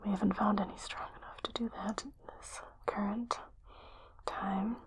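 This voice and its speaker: A woman playing a calm, clinical spaceship doctor in an ASMR roleplay, whispering breathily in a soothing, gently inquisitive way. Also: medium pitch, normal speed, natural voice. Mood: gently questioning. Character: calm, clinical female spaceship doctor examining an injured crewmate.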